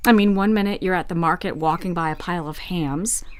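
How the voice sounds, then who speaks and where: A woman's voice: lowish voice, brisk, close to an everyday voice. A young woman playing a jaded young widow in an audio drama, speaking in a terse, dry, sardonic way. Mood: wry, weary. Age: young adult. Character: blunt, wry, jaded young widow who paints. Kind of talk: naturalistic audio drama acting.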